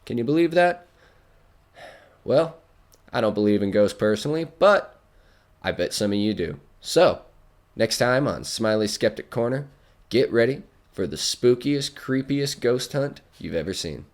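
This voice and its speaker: An actor playing a folksy, showy skeptic radio host in a radio drama, hyping and confiding in listeners. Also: male voice, medium pitch, lively intonation, unhurried. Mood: excited hype. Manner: showman patter, hyping and confiding.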